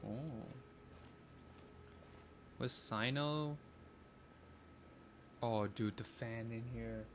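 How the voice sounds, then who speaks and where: A man's voice: medium pitch, very slow. A man streaming a game, commenting casually and thinking aloud in short reactive bursts. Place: room with a fan running. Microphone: microphone that picks up room air. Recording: faint noise and game ambience.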